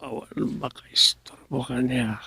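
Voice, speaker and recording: A man's voice: medium pitch, steady tone, takes it slowly, sharp tone, breathy edge, no room sound, heavily acted. A man with an Ilocano Filipino accent acting as an evasive, scheming village husband in a comic radio serial. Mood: sly muttering.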